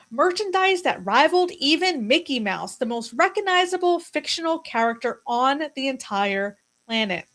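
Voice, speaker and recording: A woman narrating a scripted video essay as host, speaking emphatically in short punchy phrases with dramatic pauses. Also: sits high.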